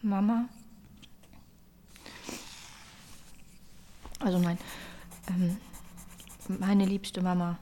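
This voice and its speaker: Woman acting a breathy, condemned young mother. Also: mid-range voice, fairly even intonation, mellow, a little hiss or hum, lightly acted. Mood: hesitant, anxious. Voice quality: breathy, often whispering. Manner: halting, tender, solemn. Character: condemned young mother in prison, tender, bravely composed. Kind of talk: German radio drama acting.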